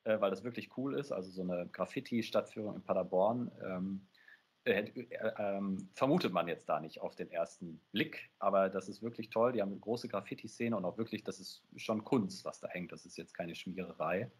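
A man's voice: sits low, normal speed, slightly rough. A man in his thirties talking casually as an interview guest over a headset on a video call. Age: 30s. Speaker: interview guest, audio game creator. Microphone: earphone headset on a video call.

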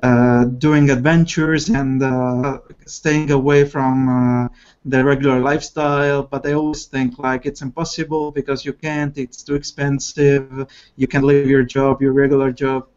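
A man's voice: medium pitch, little pitch movement, measured pace, a little mellow. A man in his thirties, co-hosting from a small kitchen over a video call, asking long, wordy questions filled with fillers. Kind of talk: casual video call interview. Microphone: laptop webcam on video call. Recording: dull lo-fi video call audio.

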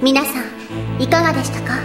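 A woman's voice: high voice, moderate pace, over music, natural voice. A woman voicing a self-assured, bossy teenage schoolgirl detective in a theatrical anime audio drama style. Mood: triumphant, theatrical. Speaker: voice actress.

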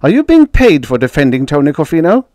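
A man's voice: fairly high pitch, wildly swooping pitch, fast, dark tone, no echo, studio quality, pitched above the performer's own voice. A man voicing a pushy newspaper reporter in an audiobook story, probing and insistent. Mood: pressing. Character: pressing, inquisitive newspaper reporters.

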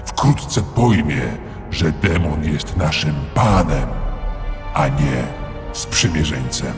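Undead orc priest, cavernous reverb, music behind, menacing, calm, audio drama. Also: male voice, very deep voice, slow pace, exaggerated voice. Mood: solemn, scornful.

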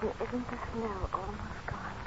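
An actress playing a frail, dying young lady, soft and wistful, in 1930s radio style. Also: medium pitch, steady tone, moderate pace, close to an everyday voice. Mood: dreamy, weak. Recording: muffled, noisy 1939 radio recording.

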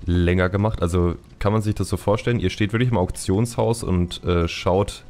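Male podcast host, low-pitched voice, informal and wryly joking. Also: steady tone, moderate pace, a little mellow. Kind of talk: casual podcast chat.

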